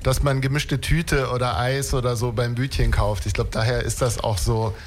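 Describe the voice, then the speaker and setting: A man from Munich, a guest on a show, explaining things in a relaxed way and ending his sentences with little tag questions. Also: medium pitch, normal speed, slight background noise.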